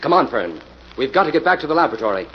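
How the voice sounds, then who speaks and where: A man's voice: mid-range voice, very animated, racing. An actor playing an earnest, methodical male investigator in a golden-age radio drama. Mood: urgent. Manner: earnest, decisive, explanatory. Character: decisive, methodical male crime-fighting investigator hero. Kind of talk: 1940s radio acting. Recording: muffled, noisy old radio recording.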